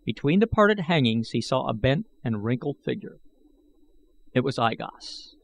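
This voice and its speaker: A man's voice: mid-range voice, very animated, unhurried, vocal fry. A man reading a scripted, dramatic narration as an audiobook narrator.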